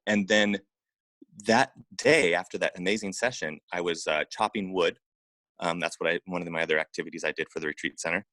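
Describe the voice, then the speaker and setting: A man with a low-pitched voice shares personal stories as an interview guest. He talks informally and haltingly, often repeating words and adding "you know." The sound is dry, with very little room around it. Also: pushed and bright, dry recording. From Oregon, United States. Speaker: interview guest, acupuncturist and massage therapist. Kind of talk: casual personal storytelling in an interview.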